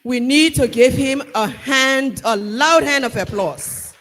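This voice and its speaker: A man with a Liberian accent delivers a formal vote of thanks in an emphatic, rallying manner. His voice sits high and he takes his time over each phrase. The sound rings with the long reverb of a large hall. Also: a bit bright, a little hiss or hum. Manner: measured, emphatic, rallying the audience. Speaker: ceremony speaker giving vote of thanks. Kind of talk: formal ceremonial speech. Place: large hall at a university ceremony.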